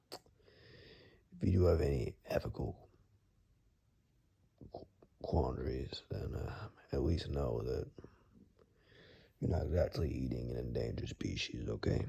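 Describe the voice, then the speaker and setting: A man playing a reclusive, kindly but gruff woodsman in an ASMR roleplay, murmuring haltingly and gently to the listener, sometimes in a whisper, with dry teasing. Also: full character voice. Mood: gentle, dry. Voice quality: very deep, gravelly. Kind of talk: ASMR roleplay, soft intimate monologue to the listener.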